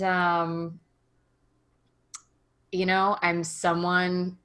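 A woman's voice: fairly low pitch, a bit bright. Bubbly woman in her thirties. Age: 30s. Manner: bubbly, self-deprecating, quick asides. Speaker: guest. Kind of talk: casual interview conversation.

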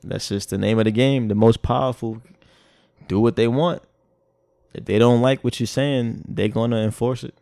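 A man's voice: medium pitch, fairly even intonation, normal speed, creaky, mellow, dry recording, studio quality. A man co-hosting a podcast, talking in a slangy, reflective way with lots of hesitant restarts. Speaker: podcast co-host. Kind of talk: casual podcast chat.

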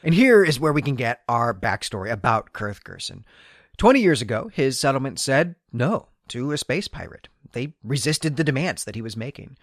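A man's voice: medium pitch, sing-song, normal speed, no room sound. Man hosting a podcast, very dry sound. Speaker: podcast host. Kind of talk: solo book discussion podcast, semi-scripted.

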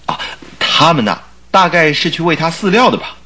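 An actor playing a stubborn, skeptical doctor who is a detective's companion, arguing theatrically and insistently in a Chinese radio drama. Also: male voice, fairly high pitch, big pitch swings, normal speed, bright, forceful tone, husky, exaggerated voice. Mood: flustered.

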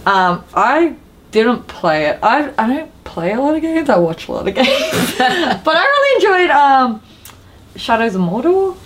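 A woman's voice: sits high, very animated, a little slow. A woman co-hosting a podcast in casual, chatty conversation, with an informal, giggly manner. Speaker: podcast co-host.

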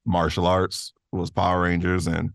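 Deep voiced guy on a podcast, rambly n excited, real casual, dry sound. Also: moderate pace, creaky, no echo. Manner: rambling, enthusiastic, peppers talk with "man" and "you know". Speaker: podcast guest, voice actor. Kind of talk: casual conversational interview.

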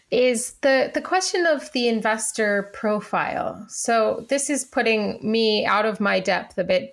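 A woman co-hosting a podcast, asking interview questions in a conversational, measured way and thinking them through aloud. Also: on the high side.

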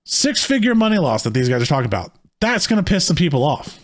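A man co-hosting a casual podcast, talking in a heated, rambling way with many restarts, his voice slightly hoarse. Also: fairly high pitch, sing-song, quick pace. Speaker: podcast co-host.

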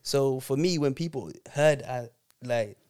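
Laid-back man, smooth voice. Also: medium pitch, a little slow, darkish tone. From Zambia. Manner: slangy and laid-back, laughs often. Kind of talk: casual chat.

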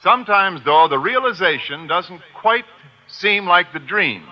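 A man's voice: high voice, expressive, a little slow. An American man reading a scripted introduction as announcer for an old radio sitcom. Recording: dull, noisy old radio recording.

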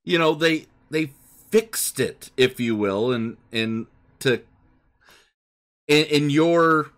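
A man's voice: on the high side, slow pace, fairly bright tone. A man hosting a casual gaming discussion, steering the conversation as he goes. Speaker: podcast host. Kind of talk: casual hosted discussion with listener shout-outs.

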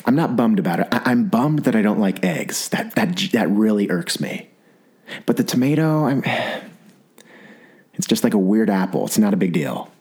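A man with a very low, husky voice, roughened by a sore throat. He hosts his own podcast as a young adult, spinning a rambling comic monologue. He trips over his words and restarts often, with animated swings up in pitch. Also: moderate pace. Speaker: podcast host. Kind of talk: comedic solo monologue.

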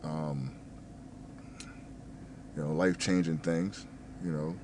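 A very deep, low-pitched male voice, slightly mumbled, from a man in his thirties who grew up in New Jersey. He talks in a loose, rambling way, stumbling over words, leaning on fillers and cursing casually. A faint background hum sits under the voice. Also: steady tone, slow, mellow. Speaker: restaurant manager and fitness vlogger.

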